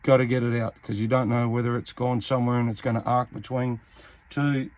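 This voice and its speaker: A man's voice: medium pitch, little pitch movement, a little mellow. Casual man giving a hands-on tutorial. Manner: informal, matter-of-fact, pausing mid-sentence while working. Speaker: hobbyist radio repairer, video host.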